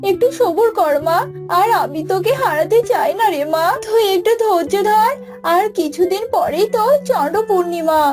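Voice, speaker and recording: Woman playing a cartoon mother cow, high-pitched, protective, chiding, tender, pleading, music behind. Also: normal speed. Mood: worried, consoling. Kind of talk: children's cartoon dialogue.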